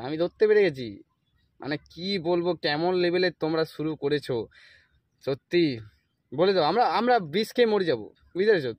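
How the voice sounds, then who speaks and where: A man rants in a high-pitched voice at a quick clip. He scolds and complains like an exasperated comedian, peppering the monologue with rhetorical questions. The sound is dry and close. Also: no room sound. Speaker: comedy video creator. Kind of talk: comic ranting monologue addressed to the sun and seasons.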